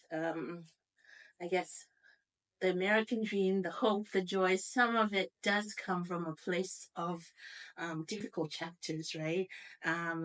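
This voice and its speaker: A woman's voice: on the low side, rough recording. A woman hosting a panel conversation, introducing guests and putting questions to them. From born outside the US. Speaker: guest host of a workplace panel.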